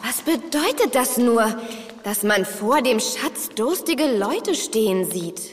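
High, lively, clever girl adventurer. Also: takes it slowly, husky, long reverb tail, exaggerated voice. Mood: thoughtful. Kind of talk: children's audio drama acting.

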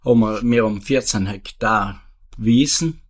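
A man's voice: lowish voice, breathy edge. Old south tyrolean farmer guy in his 70s, rambling, lots of restarts. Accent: South Tyrolean German. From South Tyrol, Italy. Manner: rambling, halting, with many restarts and fillers. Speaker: retired farmer, guest. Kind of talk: casual interview answers.

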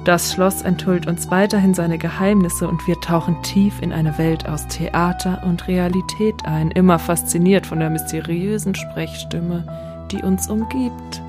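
A woman's voice: fairly low pitch, fairly even intonation, unhurried. A woman co-hosting a podcast, speaking scripted introductions and playful audio play dialogue. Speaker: podcast co-host. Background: music.

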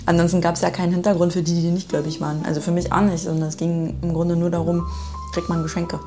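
A middle-aged woman from the former East Germany, hosting a podcast with spoken introductions and relaxed interviewing. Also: lowish voice, quick pace, music in the background. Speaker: podcast host.

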